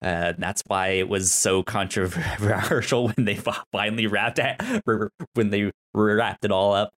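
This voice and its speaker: A man co-hosting a podcast, chatting casually and enthusiastically about television in a halting way, with stuttered sounds and syllables. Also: on the low side, fairly even intonation, a bit bright. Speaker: podcast co-host. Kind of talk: casual TV show discussion.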